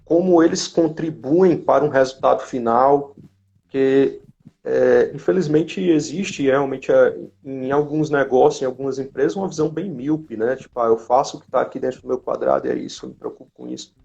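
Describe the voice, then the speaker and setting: A man's voice: mid-range voice, normal speed, gravelly, a little mellow. A man with a Brazilian accent hosting a casual live chat, commenting and reading out questions. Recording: dull lo-fi livestream audio.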